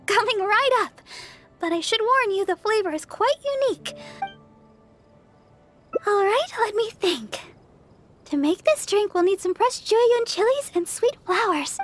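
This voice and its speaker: A woman voicing a sweet, eager, cheerful young church sister in an anime game, light and girlish, polite and chatty. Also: extremely high pitch.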